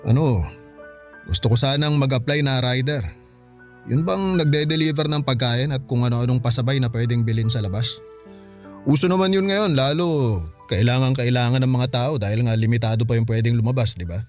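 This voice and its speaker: A man acting as a young Filipino husband in a radio drama. He speaks in a middle-pitched voice, earnest and unsure, apologizing as he goes. Music plays behind him. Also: a little fast, lightly acted. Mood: hesitant, worried. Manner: hesitant, apologetic. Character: earnest, hesitant young husband who lost his job.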